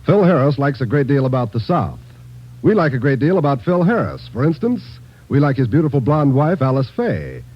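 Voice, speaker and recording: Lively male vintage radio announcer. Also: medium pitch, fairly quick, lo-fi sound. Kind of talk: 1940s radio announcing, scripted. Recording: band-limited old radio recording with music.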